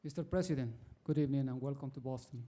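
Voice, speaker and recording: A man from Ecuador, an audience member who introduces himself formally and tells a story in a measured way before his question. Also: medium pitch, dark tone, slight background noise.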